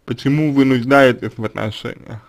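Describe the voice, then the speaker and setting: A man's voice: mid-range voice, a little fast. A man giving spoken advice to a listener, hesitant, with many fillers and restarts. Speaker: psychologist answering a question.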